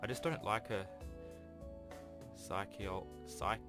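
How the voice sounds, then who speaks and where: A man's voice: lowish voice, slow pace. A man voicing a prim, suspicious teenage schoolboy who speaks in a blunt, judgmental way in a visual novel. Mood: disdainful. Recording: voice over game music.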